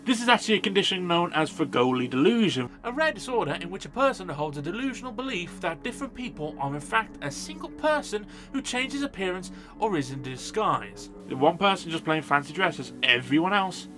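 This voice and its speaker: A man's voice: high voice, big pitch swings, brisk, over music. A man narrating a scripted comedic film review in a sarcastic, sweary manner. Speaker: film review video host.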